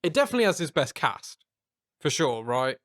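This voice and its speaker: A man's voice: a little high, very animated, brisk, fairly bright tone, no room sound, clean studio sound. A man from the UK, co-hosting a film podcast, chatty, enthusiastic and swearing freely. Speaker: podcast co-host. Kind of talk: casual film chat.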